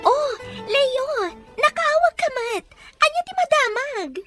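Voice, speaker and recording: A woman playing an alarmed young woman in an audio drama, speaking slowly in shock. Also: extremely high pitch, lively intonation, heavily acted. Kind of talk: Ilocano audio drama acting. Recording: loud music bed behind. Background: loud drama music.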